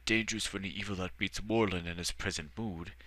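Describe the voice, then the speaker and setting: Narrator's kindly old male archmage, measured and gentle. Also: low-pitched, steady tone, voice pushed up. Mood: calm, reassuring.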